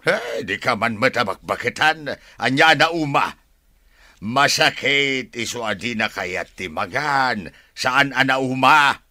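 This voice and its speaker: An actor playing a married older father in an Ilocano radio drama, with a raspy voice and an Ilocano accent. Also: medium pitch, lively intonation, a little slow, bright, forceful tone, no room sound, studio quality, exaggerated voice. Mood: exasperated, scolding. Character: married older father, forceful and easily exasperated.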